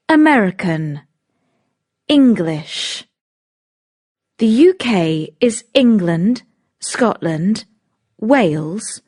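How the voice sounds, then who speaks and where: A woman reads out a vocabulary list for learners, pausing after every single word. Her pitch moves up and down a lot, and she keeps a very slow, deliberate pace. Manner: reads each word on its own, with lively intonation. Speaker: lesson narrator.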